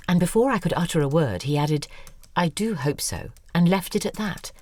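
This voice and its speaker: A woman's voice: extremely low pitch, darkish tone, full character voice. A woman playing a wistful, self-questioning wife in an audio drama, confiding in a reflective, diary-like monologue.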